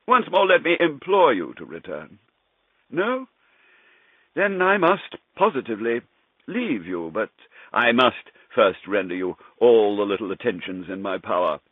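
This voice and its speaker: A man playing a coldly vengeful gentleman who speaks with theatrical, false politeness in a gothic story. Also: mid-range voice, big pitch swings, moderate pace, dry recording, phone-quality sound, voice pushed up. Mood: mock concern. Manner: mock-courteous, theatrical. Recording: band-limited vintage radio-like sound.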